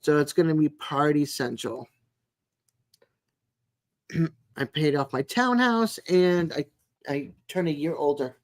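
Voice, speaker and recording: A woman in her sixties chatting casually as a live stream host, in a rambling, chatty way with frequent pauses. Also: low-pitched. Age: 60s. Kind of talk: casual chat with live viewers.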